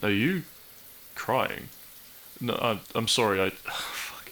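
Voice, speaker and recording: A man's voice: on the low side, animated, slow, pushed and bright, slight background noise, full character voice. A man playing a depressed, dry-witted young man in an intimate audio roleplay, speaking with hesitant, awkward teasing to his best friend. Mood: flustered, tender.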